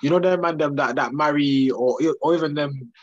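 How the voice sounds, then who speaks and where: A man's voice: a little high, normal speed, no room sound, muffled recording. A young man, chatting casually in slang with laid-back asides. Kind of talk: casual chat.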